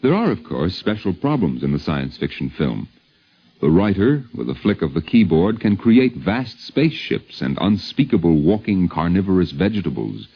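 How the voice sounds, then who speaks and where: A man's voice: low-pitched, big pitch swings, normal speed, breathy edge. An American man narrating a 1950s radio documentary from a script, with polished, wry, theatrical phrasing. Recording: muffled 1950s radio broadcast.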